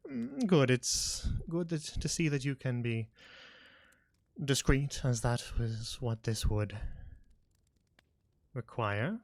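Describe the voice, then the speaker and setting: A man voicing a haughty aristocratic lord who speaks slowly and pompously, with a sarcastic edge. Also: medium pitch, animated, vocal fry, no room sound. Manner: slow, measured, pompous.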